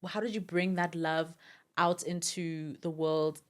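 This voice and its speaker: A woman's voice: fairly low pitch, level tone, unhurried. A woman with a South African accent hosting a casual interview, earnest and supportive as she talks. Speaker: podcast host.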